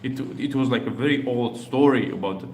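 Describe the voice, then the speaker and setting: A man's voice: medium pitch, fairly quick, darkish tone, echoey room, a little hiss or hum, rough recording. A man from Algeria hosting a reaction video, talking casually with many fillers and restarts.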